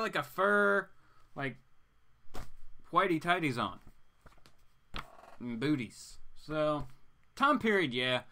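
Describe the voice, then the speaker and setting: A man hosting a casual livestream, chatting to viewers in a rambling, animated, geeky way. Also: mid-range voice. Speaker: host of a comics reading show.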